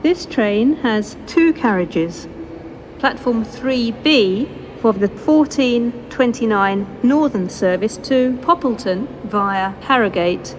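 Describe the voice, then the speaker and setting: Female British station announcer, higher-pitched, slow formal delivery, PA with faint background noise. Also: expressive. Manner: formal, measured, segmented phrasing. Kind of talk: scripted public-address train announcements. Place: train station, over loudspeakers. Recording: noisy station PA recorded on location.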